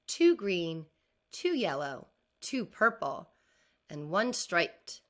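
A middle-aged woman reading a children's picture book aloud like a teacher, expressively and with deliberate enunciation. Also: mid-range voice. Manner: deliberate enunciation, animated for young listeners. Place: indoors, video recording.

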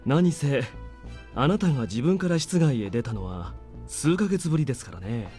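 Visual novel major, male actor: dutiful, formally polite, soft mellow tone, brisk delivery. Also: medium pitch, animated, natural voice. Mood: composed, courteous. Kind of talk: Japanese visual novel voice acting. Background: game music.

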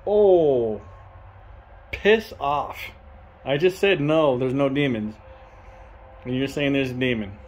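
A young man filming a ghost-hunting vlog in a dark abandoned farmhouse, talking to spirits and loudly challenging them. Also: medium pitch, slow pace, long pauses, highs cut off, slight background noise. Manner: loud provoking challenges to the spirits. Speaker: paranormal investigator.

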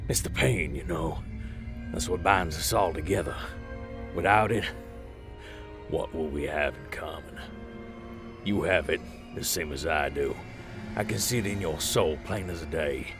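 Man acting out a very deep, menacing asylum inmate. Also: over music. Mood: creepily tender. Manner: slow-burning, intimate, creepily affectionate. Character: soft-spoken menacing older male asylum inmate killer. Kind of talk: comic dub acting.

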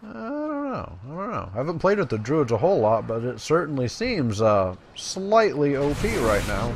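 A man's voice: mid-range voice, huge pitch swings, moderate pace, background noise. A man giving casual, playful commentary as he plays a game, often muttering to himself. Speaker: gamer.

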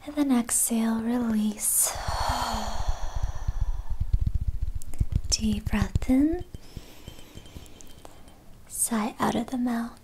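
Slow whispering woman guiding meditation. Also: fairly high pitch, noisy background. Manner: whispered throughout, slow and soothing. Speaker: meditation guide. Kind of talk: ASMR guided meditation.

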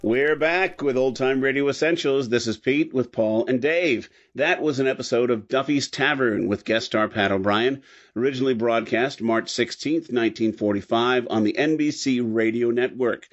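A man's voice: mid-range voice, normal speed. A man hosting a podcast discussion about old-time radio. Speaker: podcast host. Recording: dull, lo-fi podcast audio.

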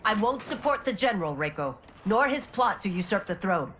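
An actress playing a loyal, determined guard captain who speaks earnestly. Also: female voice, mid-range voice, very animated, normal speed, a bit bright, slight background noise. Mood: resolute. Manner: earnest, resolute.

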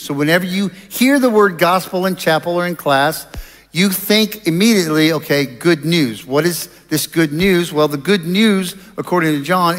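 A middle-aged man preaching an explanatory chapel sermon in a measured, deliberate way with pauses, on a headset mic in a large auditorium. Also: a little high, a bit bright. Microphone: headset microphone. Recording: reverberant hall sound.

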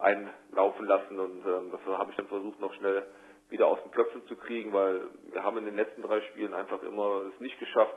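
Male football coach, interviewed by phone. Low, flat delivery, measured and hesitant, tinny line. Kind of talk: phone interview, spontaneous sports talk. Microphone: telephone. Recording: tinny, band-limited telephone line.

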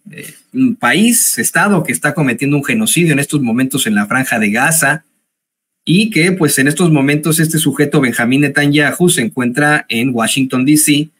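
A man's voice: mid-range voice, a bit bright. A man in his forties giving live news commentary from a home office, hesitant, with many fillers and restarts.

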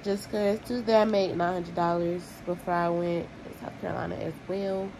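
A woman's voice: on the low side, level tone, moderate pace, creaky, noisy background. A young woman, chatting casually and bluntly to her vlog audience while tallying money aloud. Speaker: vlogger. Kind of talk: casual vlog talk to camera.

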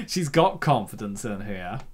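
A man's voice: fairly low pitch, huge pitch swings, slow pace, husky. A man hosting a casual comic reading with playful commentary and theatrical exclamations. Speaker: host.

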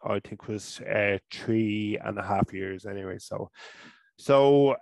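Irish man, thirties, stutters through restarts; low, gravelly, dry headset sound, casual interview guest. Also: takes it slowly, a little mellow, no echo. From Ireland. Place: small room at home. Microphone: headset mic.

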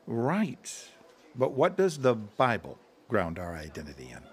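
An actor playing an earnest pastor leading a Bible study in a radio drama, measured and explanatory. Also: male voice, medium pitch, wildly swooping pitch, breathy edge, heavily acted.

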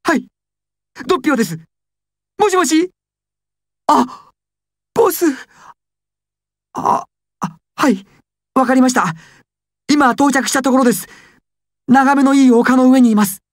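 Young male anime gangster: high-pitched, jittery, stammering, servile but explosive, dry close recording. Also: lively intonation, a little slow, long pauses, no echo. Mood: timid, deferential. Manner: stammering, swings from timid to explosive. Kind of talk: anime and game dramatic acting.

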